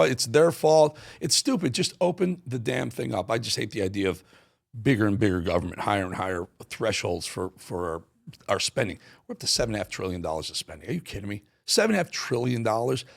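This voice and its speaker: A man's voice: mid-range voice, animated, fairly quick, creaky. An American man hosting a talk show, giving emphatic, opinionated political commentary and repeating phrases for punch.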